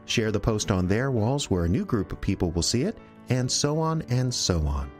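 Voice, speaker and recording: A man's voice: on the low side, moderate pace, faint music behind. Earnest male radio drama announcer. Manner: earnest, steady, appealing. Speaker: show host and announcer. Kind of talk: scripted announcement.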